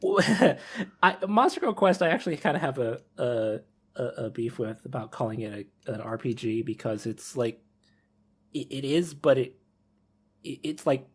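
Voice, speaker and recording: Guy hosting a podcast, kinda rough voice, rambles and rewords stuff as he goes. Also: medium pitch, lively intonation, moderate pace. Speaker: podcast host. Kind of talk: casual podcast discussion.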